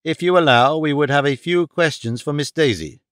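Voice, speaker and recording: A man's voice: a little high, normal speed, a bit bright, clean tone, dry recording, clean studio sound, lightly acted. A man playing a confident, courteous detective in an audiobook, formally polite and probing. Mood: assured. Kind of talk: audiobook character dialogue.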